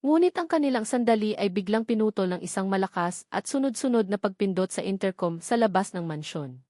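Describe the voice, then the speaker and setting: A woman's voice: medium pitch, animated, brisk, warm tone, no echo. Dry, close woman storyteller. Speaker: story narrator. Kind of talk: scripted dramatic narration of a love story.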